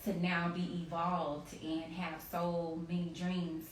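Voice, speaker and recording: A woman's voice: lowish voice, monotone, slow pace. A woman in her late thirties chatting candidly as the guest in a casual interview, often saying "you know". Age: late 30s. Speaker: interview guest, company CEO. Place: remote live video chat in a room. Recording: roomy, distant mic, faint noise.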